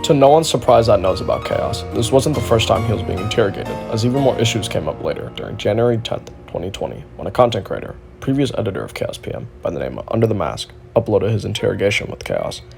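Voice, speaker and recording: A man's voice: lowish voice, big pitch swings, fast, vocal fry, few pauses, over music. A man delivering scripted narration in the style of an exposé documentary. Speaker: video narrator.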